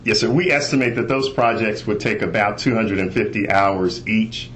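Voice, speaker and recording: A man speaking as an internal auditor, giving a measured, formal presentation on a conference call. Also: lowish voice, steady tone, a bit bright. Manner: measured, formal, bureaucratic phrasing. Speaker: internal auditor, inspector general. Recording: noisy conference call recording.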